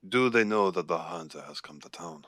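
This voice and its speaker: A man acts out a guarded Irish werewolf alpha for an audio drama. His voice sits deep in the register and is recorded dry. He chooses his words deliberately and gravely, like a seasoned pack leader. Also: a bit bright, dry recording. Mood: wary, questioning. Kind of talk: audio roleplay acting.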